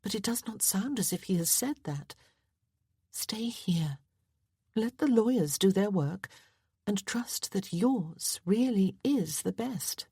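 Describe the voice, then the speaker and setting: A woman voicing an earnest, gentle young lady in a storybook reading, gently questioning. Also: mid-range voice, lively intonation, slow, breathy edge, no echo, clean studio sound, pitched above the performer's own voice, heavily acted.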